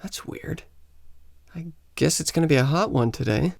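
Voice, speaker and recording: A man's voice: fairly high pitch, normal speed, gravelly, darkish tone. A man acting a polite, awkward American teacher in a radio play, hesitant and apologetic in his delivery. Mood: puzzled.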